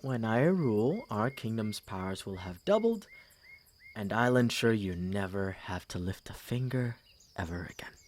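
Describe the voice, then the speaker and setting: A man playing a smug, condescending young prince with a pompous, smooth manner in an ASMR fantasy audio roleplay. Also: on the low side, moderate pace, lightly acted. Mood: gloating, patronizing. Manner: smooth, pompous, theatrically expressive.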